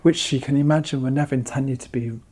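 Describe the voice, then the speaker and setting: A man talks at a medium pitch as a curator being interviewed. His phrasing is measured and emphatic as he gives informative answers. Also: fairly even intonation, a little mellow.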